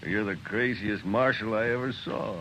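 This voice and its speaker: An actor playing a murderous, mocking American old-west outlaw who drawls and taunts, in a 1950s radio western. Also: male voice, on the low side, moderate pace, lightly acted. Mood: mocking, menacing. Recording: faintly noisy vintage radio recording.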